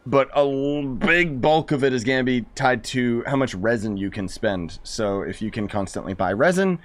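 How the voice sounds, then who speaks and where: A man's voice: mid-range voice, lively intonation, normal speed. A man giving casual live-stream game commentary in a slangy, sarcastic, exasperated way.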